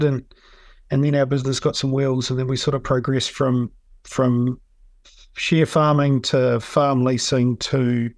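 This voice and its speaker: A man's voice: medium pitch, no room sound. A man from New Zealand with a New Zealand accent, a dairy farmer answering interview questions in an easygoing way full of um and yeah.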